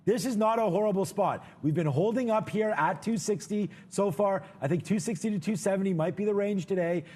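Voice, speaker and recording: A man's voice: high voice, fairly quick, darkish tone, husky. A man co-hosting a live trading show, giving casual market commentary in an informal, chatty way straight to viewers.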